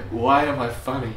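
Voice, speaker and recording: A young man with a New Zealand accent, a stand-up comedian with a dry, deadpan, self-deprecating delivery, speaking into a handheld mic in a brick comedy club. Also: mid-range voice, reverberant, distant mic, very noisy. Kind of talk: stand-up set and casual interview. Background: crowd laughter.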